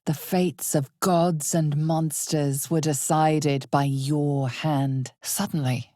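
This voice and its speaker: A woman playing an ominous, knowing narrator of a dark fantasy video game, with a breathy voice and deliberate, dramatic storytelling. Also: low-pitched, no room sound, studio quality, exaggerated voice. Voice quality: breathy, husky, often near a whisper.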